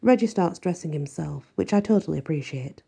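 A woman reading first-person fiction aloud as an expressive audiobook narrator. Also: lowish voice, brisk, gravelly, breathy edge.

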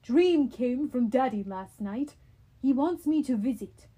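A woman voicing a big gentle young cyclops who talks in slow, simple, halting sentences. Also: sits high, animated, husky, heavily acted. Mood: earnest.

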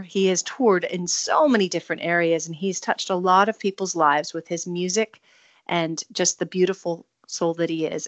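A woman's voice: on the low side, dry recording. A woman hosting a radio interview, introducing her guest in an effusive, gushing way. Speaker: radio host.

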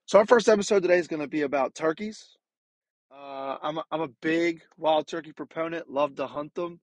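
A man's voice: on the high side, brisk, no room sound. Nasal, sick-sounding young man hosting. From Maryland. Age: late 20s. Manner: rambling, many fillers and restarts. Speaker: podcast host. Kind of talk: casual solo podcast.

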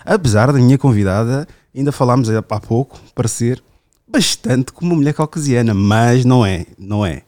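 Um homem com sotaque português europeu, anfitrião de podcast, numa conversa descontraída, com frases soltas, piadas e recomeços. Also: male voice, medium pitch, fairly quick, a little mellow. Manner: rambling, joking, with many restarts. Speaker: podcast host. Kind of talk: casual podcast interview.

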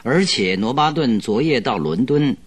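An actor playing a shrewd, self-assured male detective who questions in a commanding, deliberate way in a radio drama. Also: mid-range voice, expressive, husky. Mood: pondering, probing. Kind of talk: Chinese radio drama acting.

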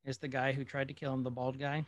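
A man's voice: mid-range voice, little pitch movement, fast talker, no room sound, clean studio sound. A man co-hosting a podcast, chatting casually and with opinions about old movies. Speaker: podcast co-host.

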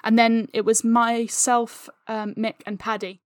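High-voiced woman chatting in a dry recording. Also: little pitch movement, measured pace, dry recording. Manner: jokey, giggles through explanations. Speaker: interview guest, audio fiction creator. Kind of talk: casual chat.

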